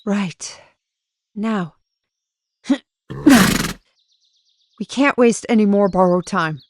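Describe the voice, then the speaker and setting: A woman playing a spirited, caring adventurer in an audio drama, brisk, with salty folksy oaths. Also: mid-range voice, a little mellow. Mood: resolute. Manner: colorful folksy oaths, addresses others as lad and lass. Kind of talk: audio roleplay drama acting.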